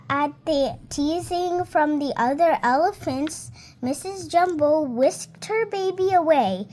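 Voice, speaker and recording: Young girl, around seven, high voice, slow and careful storybook read-aloud. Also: darkish tone. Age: child, about seven. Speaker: young reader.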